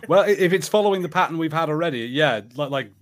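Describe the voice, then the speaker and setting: A man's voice: a little high, animated, quick pace. A man co-hosting a podcast, chatting casually like an enthusiastic fan. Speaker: podcast co-host.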